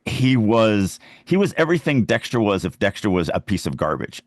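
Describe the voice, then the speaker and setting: A man's voice: fairly low pitch, very animated, moderate pace, dry recording, clean studio sound. A man hosting a casual podcast chat about writing, speaking emphatically and acting out examples to make his points. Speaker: podcast host and writing coach.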